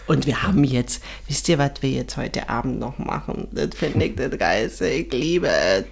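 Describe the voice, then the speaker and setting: Plaudernde Frau, verspielt. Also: female voice, a bass voice, sing-song, normal speed, gravelly, breathy edge. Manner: chatty, playful, jumps between topics. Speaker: podcast co-host. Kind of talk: casual chat. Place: hotel room.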